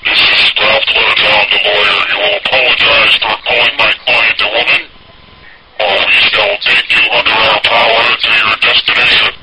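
A prank caller voicing a pompous male lawyer who speaks slowly, stiffly and formally. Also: lowish voice, level tone, voice pushed down, close to an everyday voice. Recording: noisy phone line.

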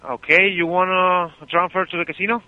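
A man's voice: high-pitched, level tone, a little fast, dry recording. A Spanish-accented man, a native Spanish speaker, doing customer service talk on a muffled telephone line. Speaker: phone operator at a casino.

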